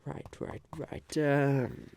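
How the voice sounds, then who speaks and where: A man playing a scheming, eccentric businessman in an ASMR roleplay, musing haltingly and repeating his phrases. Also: medium pitch, flat delivery, darkish tone, a little hiss or hum. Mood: musing, pitching.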